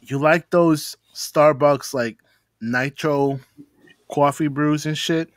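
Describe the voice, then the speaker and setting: A man's voice: on the high side, steady tone, slow pace, dry recording, studio quality. An American man in casual chat on a video call, bantering with slang and swearing.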